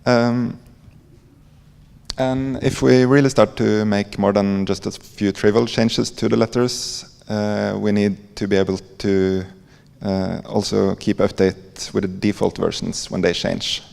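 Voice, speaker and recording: A man with a Norwegian accent giving an informal conference presentation in a halting, hesitant way, with frequent pauses. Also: fairly low pitch, little pitch movement, creaky, a little mellow. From Norway. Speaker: conference presenter, library coder. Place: conference hall. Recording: echoey hall with long reverb.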